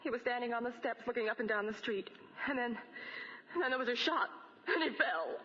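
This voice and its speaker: Frightened young wife: high, overwrought, pleading, 1940s radio melodrama on a muffled recording. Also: female voice, a little fast, bright, forceful tone. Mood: shaken. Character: frightened, distraught young wife under suspicion. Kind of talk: 1940s radio drama acting. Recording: dull vintage 1940 radio recording.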